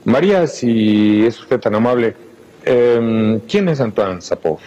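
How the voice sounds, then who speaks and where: A man's voice: mid-range voice, animated, slow pace, warm tone, dull audio. An older man hosting a TV interview. He asks probing questions and often interjects. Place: indoor art gallery room, seated.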